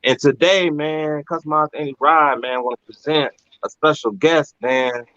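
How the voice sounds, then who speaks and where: Upbeat podcast host, dull lo-fi sound. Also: male voice, fairly high pitch, fairly even intonation, moderate pace, fairly bright tone, no room sound. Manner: hype, upbeat, calls everyone "man" and "bro". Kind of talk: casual podcast hosting.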